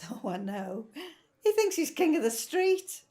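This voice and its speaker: A woman's voice: on the high side, big pitch swings, a little slow, warm tone. An actress playing a fretful, grumbling middle-aged working-class mother in a 1950s family audio drama. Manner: grumbling, worrying.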